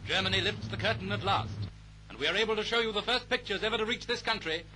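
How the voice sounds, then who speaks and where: A man's voice: high-pitched, animated, fast, noisy background. A British man reading a scripted 1930s newsreel in a formal, declamatory manner, on a vintage soundtrack. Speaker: newsreel narrator. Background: music.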